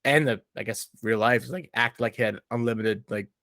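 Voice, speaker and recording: A man's voice: mid-range voice, fairly quick, a bit bright, no echo, studio quality. A man in a casual, slangy podcast chat, rambling and blunt, with lots of filler words. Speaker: podcast guest reviewing a TV show.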